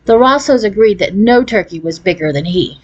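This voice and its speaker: A woman reads a children's novel aloud. Her voice sits at a middle pitch, and she narrates expressively, in the manner of a storyteller. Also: lively intonation, normal speed, slightly rough, lo-fi sound.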